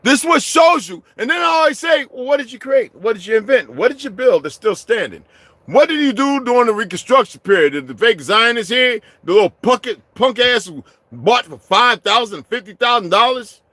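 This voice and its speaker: A middle-aged man delivering a heated, profane vlog rant, swinging from low muttering to shouting. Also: big pitch swings, a little fast, no room sound. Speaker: vlogger. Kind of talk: profane ranting monologue.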